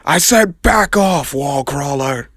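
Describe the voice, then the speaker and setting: A man voicing a gruff, irritable supervillain brawler in an audio comic, curt and threatening. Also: fairly high pitch, animated, takes it slowly, a bit bright, dry recording, studio quality, pitched above the performer's own voice, full character voice. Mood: irritated, threatening. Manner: curt, threatening, street-tough. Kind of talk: audio comic drama acting.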